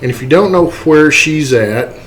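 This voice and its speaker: A man's voice: fairly high pitch, animated, moderate pace, a bit bright, a little hiss or hum, rough recording. A man narrating a computer tutorial in a casual, conversational way, explaining step by step and often checking in with the listener. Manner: conversational, explanatory, often tags points with a checking "okay" or "right". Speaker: tutorial host, game modder.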